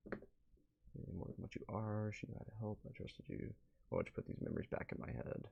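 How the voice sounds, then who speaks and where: A man with a fairly low, gravelly voice is streaming a game. He reads text aloud in a measured way, then slips into casual, muttered asides to his viewers. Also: darkish tone, dull audio. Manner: drifts between measured reading and offhand muttered commentary.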